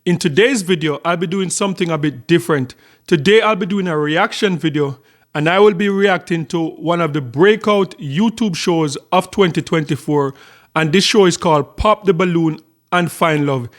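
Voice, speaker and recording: A man commenting on a dating show clip for his reaction video in a calm, explaining way. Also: a little high, normal speed. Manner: calm, explaining step by step, some ums.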